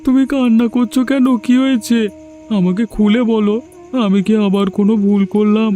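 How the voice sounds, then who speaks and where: A man's voice: high-pitched, steady tone, normal speed, warm tone, lightly acted. A man voicing a simple, childlike village boy in a cartoon, naive, earnest and devoted to his mother. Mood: worried, protective. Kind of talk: Bengali fairy tale cartoon dubbing.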